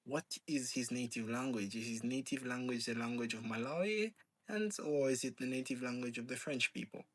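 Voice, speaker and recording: A man's voice: medium pitch, fairly quick, poor audio. Man musing aloud in casual monologue. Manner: rambling with many rhetorical questions and filler "like". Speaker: channel host.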